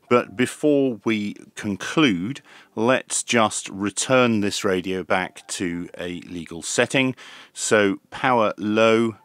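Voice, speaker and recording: A man in his fifties presenting a product review in a measured, methodical way, step by step. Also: fairly low pitch, a bit bright, no room sound. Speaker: presenter of radio equipment reviews. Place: small indoor home radio workshop.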